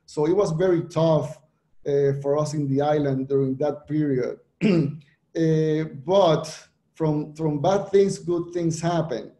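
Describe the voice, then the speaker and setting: A man from Puerto Rico speaking as a panelist, halting with many restarts and fillers. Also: a little high, dark tone, echoey room. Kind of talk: presenting on a virtual panel.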